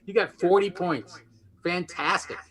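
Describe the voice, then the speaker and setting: A man with a high, bright voice hosts a quiz show. He reads questions to the players and trades playful, teasing banter with them. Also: unhurried. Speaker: game show host.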